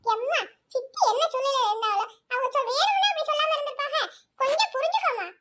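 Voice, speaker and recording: A woman's voice: very high-pitched, lively intonation, fast, fairly bright tone. A woman voicing a caring college-age daughter who soothes her mother. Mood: concerned. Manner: coaxing, soothing.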